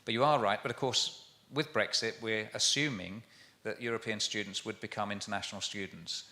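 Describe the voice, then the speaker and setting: A man on a conference panel answers questions quickly and earnestly, doubling back on his words. His voice sits a little low but has a bright, forward ring. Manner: fast, earnest, with restarts. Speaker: university panelist.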